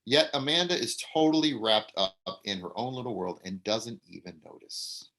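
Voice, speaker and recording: A man reading the stage directions at a table read, plain and slightly halting. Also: mid-range voice, lively intonation, a bit bright, a little hiss or hum, poor audio.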